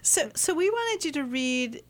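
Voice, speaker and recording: A woman's voice: high-pitched, sing-song, unhurried, a little hiss or hum. A woman co-hosting a radio show, speaking earnestly and stumbling over her words. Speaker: radio co-host.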